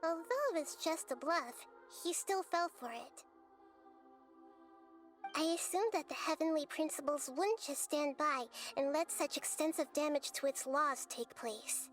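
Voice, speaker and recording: A small young goddess of wisdom from a fantasy game, high in pitch, calm and thoughtful. She explains things gently in measured, carefully articulated sentences, with music playing faintly behind her. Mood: calm, explanatory. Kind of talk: scripted game dialogue. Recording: background music under the voice.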